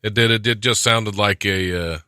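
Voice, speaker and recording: A man's voice: on the low side, steady tone, normal speed, pushed and bright, clear, resonant tone, dry recording, clean studio sound. An American man of about forty hosting a podcast, chatting casually and reading odd news items with joking self-deprecation. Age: around 40. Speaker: podcast host. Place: small studio. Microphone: close studio mic on a stand.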